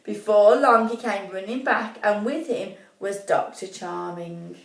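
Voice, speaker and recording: A woman reading a children's story as expressive, deliberate narration, pausing to put questions to young listeners. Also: mid-range voice, vocal fry. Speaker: primary school story reader. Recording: echoey room, distant mic.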